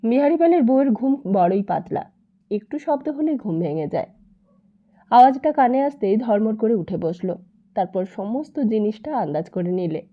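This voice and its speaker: A woman's voice: fairly high pitch, very animated, normal speed, highs cut off. A woman narrating a scripted story as a dramatic audiobook reader.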